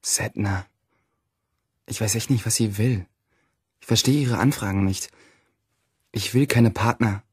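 A man's voice: on the low side, measured pace, long pauses, darkish tone, husky, no room sound, studio quality. An actor playing a self-righteous, self-important teenage boy loner, narrating and speaking in a crime radio play. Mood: aloof. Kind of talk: first-person audio drama narration and dialogue in a crime radio play. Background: music behind the voice.

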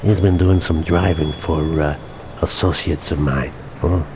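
Very deep-voiced crime boss. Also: male voice, fairly even intonation, a crowd in the background. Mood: smooth, calculating. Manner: halting, unhurried, understated menace. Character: laid-back male crime boss, calm and quietly threatening.

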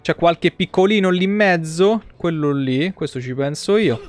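Highish-voiced male streamer. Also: normal speed, no echo. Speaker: video game streamer. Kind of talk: casual live gameplay commentary. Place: small indoor room at a desk. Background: game music.

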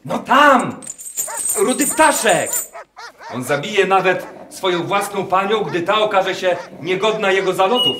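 An actor playing a dreamy, bird-obsessed Nazi scientist in a radio drama, speaking in a rapt, halting, excitable way. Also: male voice, high voice. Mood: excited. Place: live performance inside a wooden barn. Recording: echoey barn, some background noise.

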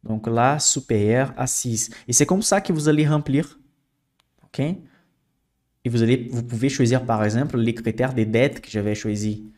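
A man's voice: medium pitch, normal speed, darkish tone. Man walking through a stock screener. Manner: explanatory, frequent "ok?" checks and fillers. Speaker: investing channel host. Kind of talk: screen-share finance tutorial.

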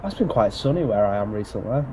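A man's voice: lowish voice, expressive, darkish tone, slight background noise. A man with a West Yorkshire accent, joking and swearing with his squad during a war game. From West Yorkshire, England. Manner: dry, swears often, quick to laugh. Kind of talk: casual gaming banter.

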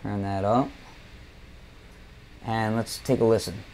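A man's voice: on the low side, level tone, slow pace, darkish tone, low-quality recording. A man presenting a casual hands-on demonstration of audio gear, explaining each step as he does it. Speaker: presenter demonstrating a synthesizer module. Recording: noticeable background noise.

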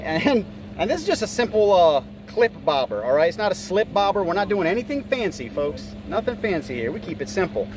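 A middle-aged American man giving casual, folksy running commentary as host of a fishing vlog. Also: sits high. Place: outdoors on a small boat on open water. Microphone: handheld camera or phone. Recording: noisy outdoor boat and water sound.

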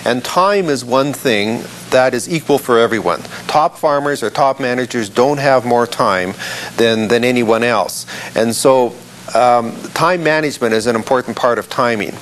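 A man's voice: medium pitch, moderate pace, a little hiss or hum. A man, a farm management expert, answering an interview question with many fillers and restarts.

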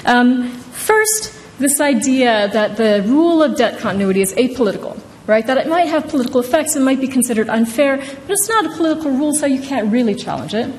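A woman in her thirties with a fairly high voice gives an academic book talk in a conversational way. She often tags her points with a questioning "right?". The hall adds reverb, with some light noise. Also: sing-song, fairly quick, few pauses, darkish tone. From Indonesia. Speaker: law professor, author presenting her book. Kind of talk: informal academic talk at a podium. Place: large wood-panelled courtroom-style hall. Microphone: podium microphone.

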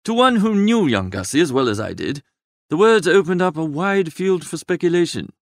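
A man's voice: a little high, expressive, normal speed, no room sound, studio quality. A man playing a flippant, languid young upper-class idler, narrating a comic audiobook in a wordy, breezy, droll way.

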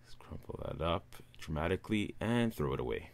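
A man, casually talking viewers through a phone unboxing in a relaxed, upbeat way. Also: low-pitched, brisk, vocal fry. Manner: relaxed, upbeat presenter addressing viewers as guys. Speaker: tech reviewer.

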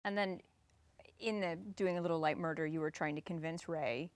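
A woman's voice: sits low, moderate pace, dry recording. A woman, around her forties, casually interviewing guests as a talk show host. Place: indoor studio set.

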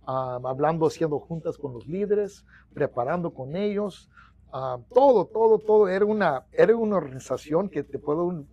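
A man's voice: a little high, vocal fry, lo-fi sound. A middle-aged Mexican-American man speaking Spanish with English mixed in, telling long stories as a union organizer, with many restarts, on a lapel mic in a small office. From United States. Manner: mixes English into Spanish, many restarts, animated hand gestures. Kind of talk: storytelling interview.

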